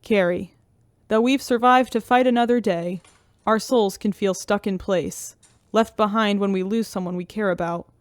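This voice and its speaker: Actress doing soft-hearted sad zoologist lady, earnest, dry close recording, sci-fi radio play. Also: medium pitch, normal speed, dry recording, natural voice. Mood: tender, grieving. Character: tender grieving female exozoologist. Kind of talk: earnest letter reading in an audio drama.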